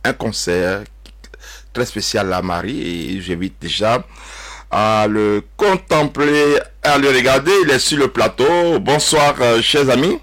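Male radio host, mid-pitched voice, halting conversational delivery for a faith programme. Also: a bit bright. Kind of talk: hosting a religious music talk show.

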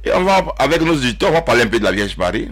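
A man hosting a religious radio talk show, often pausing and repeating his words. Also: mid-range voice, very animated, fairly bright tone. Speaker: radio host.

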